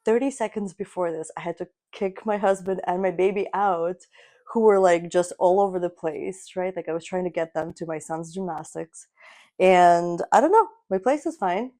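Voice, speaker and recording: A woman in her thirties, a native Russian speaker, co-hosting a casual podcast from her apartment couch, animated and quick to laugh. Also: fairly low pitch. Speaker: podcast co-host. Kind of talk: casual chat. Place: living room couch in an apartment.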